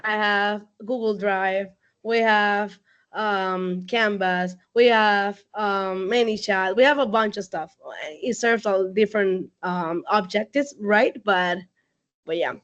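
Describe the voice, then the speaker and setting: A woman, a company CEO, speaking casually as a guest on a video call, laughing often and filling pauses with "um". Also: medium pitch, fairly even intonation, a bit bright, muffled recording. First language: Spanish. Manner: chatty, laughs often, many fillers. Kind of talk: casual online talk.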